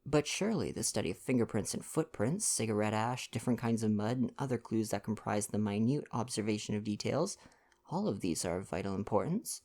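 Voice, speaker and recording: A man voicing an earnest, politely argumentative gentleman sidekick in a story reading. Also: lowish voice, expressive, fairly quick. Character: Poirot's male sidekick, earnest, mildly argumentative English gentleman.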